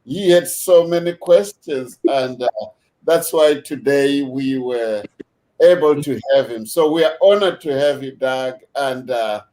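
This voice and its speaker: A man hosting a video call discussion, measured and unhurried, with many fillers as he thanks his guests. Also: medium pitch, warm tone, low-quality recording. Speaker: host.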